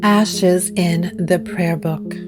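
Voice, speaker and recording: A woman narrates a gothic tale at an unhurried pace in a mid-range voice. Her delivery is scripted, measured and atmospheric, with plucked guitar music clearly behind her. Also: on the low side, fairly even intonation.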